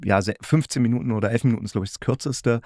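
A man hosting a conversational podcast interview, speaking haltingly as he searches for his words. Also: medium pitch, a little mellow, no echo, studio quality.

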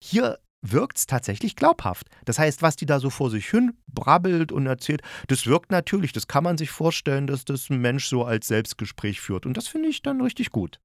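A man's voice: mid-range voice, huge pitch swings, normal speed, hardly any pauses, no room sound. Chatty man reviewing, dry close sound. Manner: chatty, thinking aloud, self-correcting. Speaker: host of an audio drama review show. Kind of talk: casual spoken review to listeners.

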